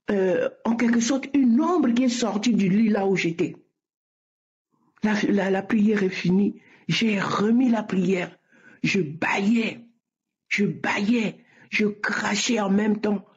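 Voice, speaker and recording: A woman's voice: mid-range voice, slow, a little mellow. A woman giving an earnest spoken religious testimony, often repeating her words. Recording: muffled lo-fi recording.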